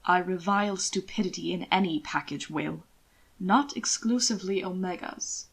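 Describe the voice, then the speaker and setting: A woman's voice: lowish voice, normal speed, creaky, slightly rough. A woman voicing a haughty, guarded young lord with formal, clipped speech in a story. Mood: disdainful.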